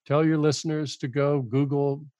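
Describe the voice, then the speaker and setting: A man's voice: fairly high pitch, little pitch movement, moderate pace, a little mellow, dry recording, dull audio. An older man, a guest author on a podcast, making his case earnestly and often repeating words as he goes. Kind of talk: podcast interview.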